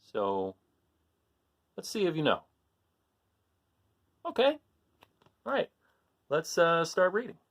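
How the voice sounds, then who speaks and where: A man reading a picture book aloud to children in an animated way, asking his listeners questions. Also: a little high, slow pace, long pauses. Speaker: read-aloud storyteller.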